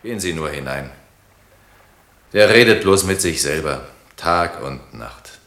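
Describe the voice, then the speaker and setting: A man's voice: low-pitched, expressive, measured pace, fairly bright tone, exaggerated voice. An actor in a German radio play playing a plain-spoken member of a craftsman's household, simple and matter-of-fact. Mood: blunt. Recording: roomy, echoing sound.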